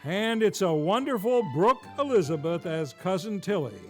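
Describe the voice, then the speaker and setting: A man's voice: high-pitched, animated, normal speed. A man hosting a live vintage-style radio show with a showy, grandly enunciated announcer delivery. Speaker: host and announcer. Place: theater stage, live show. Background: faint music.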